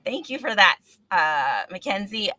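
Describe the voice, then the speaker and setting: Bright, animated woman hosting a talk show. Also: mid-range voice, a little slow, dry recording. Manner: encouraging, affirming. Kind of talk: casual live-stream interview.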